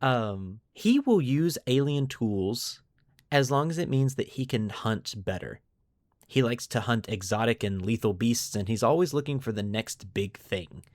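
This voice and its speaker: A man's voice: medium pitch, expressive, unhurried, a little mellow, no echo, studio quality. A man hosting a podcast, explaining lore to friends in an informal, enthusiastic way. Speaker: podcast host.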